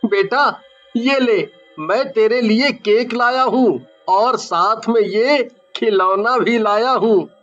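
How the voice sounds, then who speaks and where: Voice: mid-range voice, takes it slowly, a bit bright, muffled recording, soft background music. An actor voicing a caring father of a young boy in a children's horror cartoon. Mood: affectionate.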